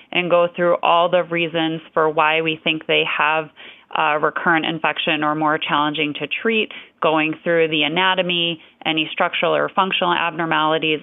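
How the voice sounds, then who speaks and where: Gravelly-voiced woman doctor, phone-thin. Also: sits low, no echo. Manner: fluent, earnest, explanatory. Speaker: physician. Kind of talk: medical podcast interview. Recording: dry tinny phone-like audio.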